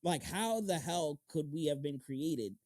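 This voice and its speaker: A man's voice: on the high side, animated, measured pace, darkish tone, dry recording. A man hosting a podcast, chatting casually and slangily with plenty of loose swearing. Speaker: podcast host. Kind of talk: casual, animated podcast chat.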